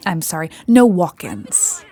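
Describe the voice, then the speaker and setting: A woman's voice: fairly low pitch, very animated, a little slow, crowd noise. A woman playing an officious, easily flustered cat receptionist in a comedic audio drama. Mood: firm, officious.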